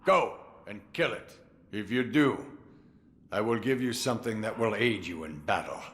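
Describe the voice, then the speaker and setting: A man's voice: fairly low pitch, lively intonation, a bit bright, slight background noise. An actor playing a boisterous, menacing old swordsman in a tengu guise, theatrical and commanding, in a video game cutscene. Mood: sly, commanding. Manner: theatrical, slow, chuckling and commanding.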